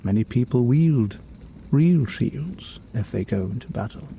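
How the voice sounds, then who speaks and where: A man plays a kindly fantasy mage for ASMR, healing a weary traveler. His voice is mellow and a little gravelly, very unhurried and soothing, often sinking near a whisper. Faint cricket sounds of a forest night sit behind him. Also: medium pitch, animated, slight background noise. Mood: calm, instructive. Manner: soft-spoken, soothing, unhurried, often dropping toward a whisper.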